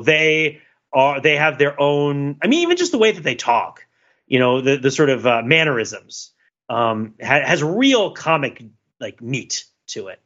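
A man's voice: medium pitch, a bit bright, highs cut off. A man co-hosting a podcast discussion, talking casually with many fillers, restarts and little laughs. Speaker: podcast co-host.

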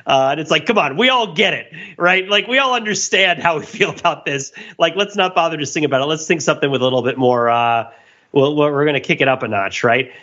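A man's voice: on the high side, a little fast, bright, forceful tone. A man co-hosting a casual, comedic podcast, explaining things emphatically and with enthusiasm, on a slightly lo-fi microphone. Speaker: podcast co-host. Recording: slightly dull, lo-fi microphone sound.

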